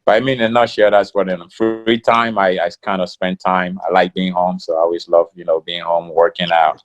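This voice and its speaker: Very deep-voiced Ghanaian-born man, relaxed. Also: a little fast, lo-fi sound. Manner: relaxed, many fillers like "you know". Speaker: interview guest. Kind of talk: casual interview talk.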